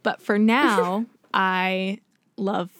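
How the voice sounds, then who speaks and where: A young woman co-hosting a podcast in casual chat, talking fast and chattily with lots of fillers and laughs. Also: mid-range voice, steady tone, fairly bright tone, dry recording, studio quality. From United States. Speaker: podcast co-host, makeup artist.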